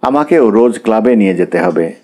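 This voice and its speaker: Brief: insistent young wife in a storybook, read by a male narrator, warm tone. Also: lowish voice, animated, brisk. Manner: insistent, questioning.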